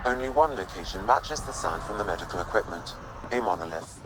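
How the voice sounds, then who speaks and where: An actor voicing a calm, matter-of-fact AI companion in a video game, measured and informational. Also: male voice, sits low, crowd noise, rough recording. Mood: calm, factual. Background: loud music.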